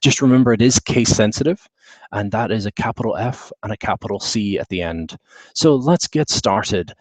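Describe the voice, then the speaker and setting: A man with a British accent talks through a slide deck in an online session, speaking in an easygoing way full of "uh" and "um". His voice sits fairly low. The sound is dry and close, picked up by a computer mic on a video call. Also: moderate pace, no echo. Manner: relaxed, many fillers and restarts. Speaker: webinar presenter. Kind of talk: online conference presentation.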